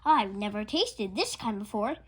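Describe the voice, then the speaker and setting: A woman's voice: medium pitch, animated, moderate pace, husky. A woman voicing eager, insistent lady guests at a storybook tea party.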